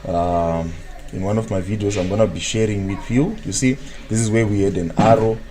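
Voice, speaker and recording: A Zimbabwean man with a low voice runs a casual live stream, rambling and trailing off into filler tags as he reads viewers' comments. His voice comes through a computer mic with a faint hiss underneath. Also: fairly even intonation, a little fast, warm tone. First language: Shona. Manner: rambling, fills gaps with "what, what"; answers viewers' chat comments. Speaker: trading analyst. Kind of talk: live-stream market analysis.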